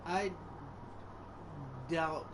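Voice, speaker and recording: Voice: mid-range voice, steady tone, drawn-out words, over a noisy crowd. A gameplay streamer making casual, muttered asides while playing. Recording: voice under loud game music. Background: loud video game music.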